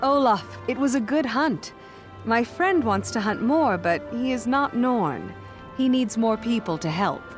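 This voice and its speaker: An actress playing a confident, courteous adventurer in a fantasy video game, composed and assured. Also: female voice, fairly high pitch, animated, measured pace. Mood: persuasive. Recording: game cinematic with music behind.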